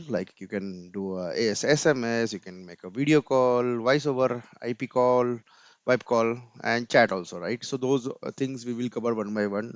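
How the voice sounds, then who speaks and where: Guy doing a casual step by step coding walkthrough, smooth lowish voice, kinda muffled lo-fi mic. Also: no room sound. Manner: step-by-step, often checks with "right?". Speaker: software tutorial presenter. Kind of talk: informal screen-share coding tutorial.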